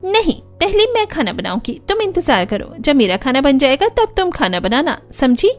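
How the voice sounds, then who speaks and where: A woman's voice: sits high, very animated, normal speed, faint music behind. A woman voicing a snide, bossy young sister-in-law in a cartoon story, taunting and condescending. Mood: smug.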